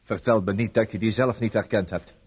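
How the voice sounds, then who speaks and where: An actor playing a nervous, evasive man who speaks haltingly in a radio play. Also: on the low side, steady tone, over loud music, close to an everyday voice. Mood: uneasy, conspiratorial. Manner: halting, hesitant. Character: nervous, evasive male witness hiding something.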